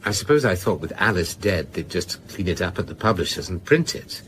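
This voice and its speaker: A sardonic novelist in a radio crime drama, confessing ruefully. His low voice swings widely in pitch, and he speaks in measured, slightly hesitant phrases. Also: very animated, fairly bright tone, slight background noise. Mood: wry, resigned. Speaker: actor.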